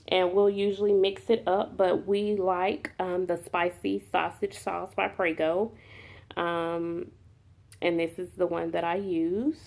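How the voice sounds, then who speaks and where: A woman vlogger with a cold that makes her nasal, talking softly and unhurriedly to her viewers with many ums. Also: lowish voice, creaky. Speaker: mukbang vlogger. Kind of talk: casual talk to camera.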